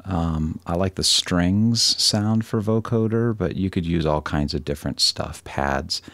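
A man presenting a casual screen-recorded software tutorial, thinking aloud in an informal, self-deprecating way. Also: deep voice, a little slow, no echo.

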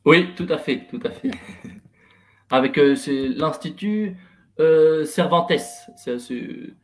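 A man's voice: fairly high pitch, slow. A young man from France, a guest on a video call, cheerful and hesitant, repeating his words. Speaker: guest presenter. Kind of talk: casual chat on video call. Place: home room. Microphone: headset.

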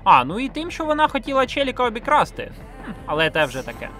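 A man's voice: very high-pitched, wildly swooping pitch, fast talker, pushed and bright. A man narrating a scripted video essay in a reflective, sentimental way, with casual slang and some swearing. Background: music behind the voice.

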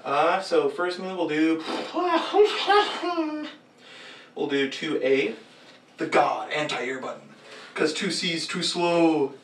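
Young guy cosplaying, laughy and chatty, bright lively voice, echoey room w the mic far off. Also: a little high, slow. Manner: giggly and self-mocking, chatty. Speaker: cosplayer filming fighting-game moves. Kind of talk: casual chat while acting out moves. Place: green screen room indoors. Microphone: far camera mic.

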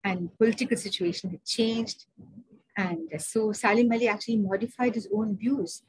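A woman with an Indian English accent lectures over slides in a chatty, digressive way, often pausing on fillers and restarting her sentences. Her voice is slightly breathy and sits at a middling pitch. The sound is close and dry, as if from a video call. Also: steady tone, a little mellow, no room sound, low-quality recording. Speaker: seminar speaker.

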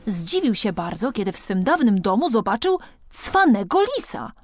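A woman's voice: mid-range voice, sing-song, normal speed, dry recording. A woman reading a children's storybook as an audiobook narrator, expressively and with dramatic emphasis.

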